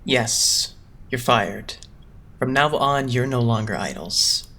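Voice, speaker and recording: A man's voice: mid-range voice, slow pace, sharp tone. Casting: male actor as haughty young agency boss, anime fandub; cool, formal, talks down to people. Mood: cold, blunt. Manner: lecturing, condescending, formal. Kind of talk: anime game fandub acting.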